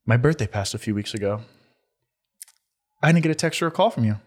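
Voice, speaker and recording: Male podcast co-host, mid-pitched, teasing banter. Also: animated, a little fast. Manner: teasing, laughs often. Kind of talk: casual chat.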